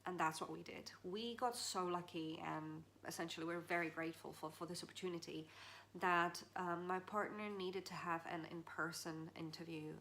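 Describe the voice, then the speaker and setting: A woman's voice: fairly low pitch, fairly even intonation, normal speed, creaky, a bit bright, slight background noise. A woman from the Czech Republic talking through personal advice in an earnest, chatty way, with small laughs and restarts. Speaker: vlogger. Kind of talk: casual heart-to-heart advice.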